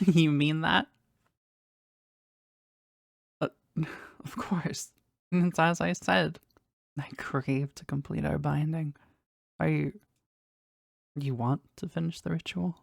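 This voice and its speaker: Slow, husky, gravelly demon lover, voiced by an actor. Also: medium pitch, no echo, clean studio sound. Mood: hesitant, astonished, eager. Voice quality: husky, breathy, often dropping to a whisper. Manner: formal, slow, tender, halting with emotion. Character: powerful demon bound to a sorcerer, devoted, lovestruck, formal. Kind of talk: intimate romantic audio roleplay.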